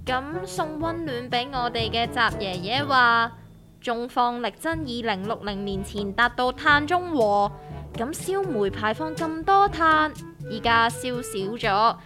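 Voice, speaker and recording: A woman's voice: on the high side, expressive, a little slow. A woman playing a theatrical, easily spooked and sarcastic character in a comedic Cantonese radio drama, with a Hong Kong accent. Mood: mock-earnest. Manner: theatrical, mock-earnest. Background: music.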